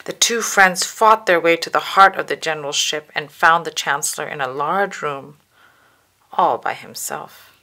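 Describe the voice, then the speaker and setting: Woman narrating a kids book aloud, low voice, gravelly fry, careful and dramatic. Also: normal speed, bright, forceful tone. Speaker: storybook narrator. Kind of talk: children's book read-aloud, scripted narration.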